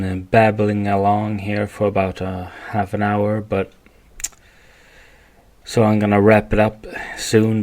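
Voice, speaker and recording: A man from Sweden with a Swedish accent, speaking English as a second language in a rambling, halting solo podcast monologue. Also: fairly low pitch, a little mellow. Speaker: podcast host.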